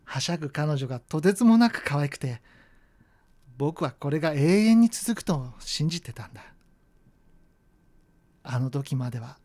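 A man's voice: on the high side, expressive, moderate pace, long pauses, a little mellow, close to an everyday voice. A man acting a wistful young college student in a sentimental, reflective radio drama. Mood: nostalgic. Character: wistful young male college student narrating lost love. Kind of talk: radio drama monologue and dialogue.

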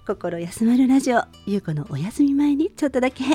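A woman's voice: on the high side, big pitch swings, a little fast, husky, soft background music. A woman radio host speaking politely in a friendly, cheerful hosting and interview style. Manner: polite, bright-spirited.

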